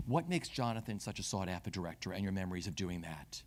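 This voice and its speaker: A man moderating an interview, putting prepared questions in measured, formal phrasing. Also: sits low, animated.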